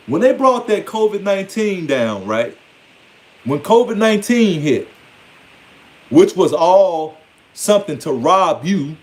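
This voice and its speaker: An American man in his forties giving an informal, rambling monologue with emphatic, streetwise delivery and casual swearing. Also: high-pitched. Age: 40s. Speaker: online speaker. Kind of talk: informal spoken monologue to followers.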